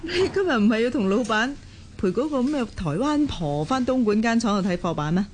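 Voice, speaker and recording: A woman plays a gossipy, cheeky office colleague in a radio drama. Her Hong Kong Cantonese is animated and rather high, with big swings in pitch. Faint noise sits in the recording. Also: brisk, close to an everyday voice. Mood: curious, gossipy. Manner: teasing, animated.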